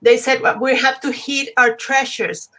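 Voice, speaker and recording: A woman from Mexico with a Mexican Spanish accent, a native Spanish speaker, explaining a spiritual tradition slowly and patiently as a podcast guest over a call. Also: high voice, flat delivery, sharp tone. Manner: measured, explains terms patiently.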